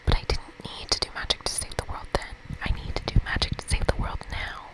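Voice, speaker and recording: A woman's voice: fairly quick, whispered, lightly acted, low-quality recording. A woman voicing an earnest, easily exasperated young woman who does magic in a camp game. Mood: pleading.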